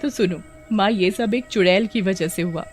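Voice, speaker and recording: A woman voicing a sensitive, naive village girl with plaintive, expressive delivery in an animated story. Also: mid-range voice, moderate pace, soft background music, natural voice. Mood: excited.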